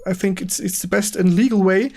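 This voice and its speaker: A man with a German accent hosting a casual livestream interview in halting non-native English, often repeating words and agreeing quickly. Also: sits high, a little mellow. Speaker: stream host interviewing a game developer. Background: music in the background.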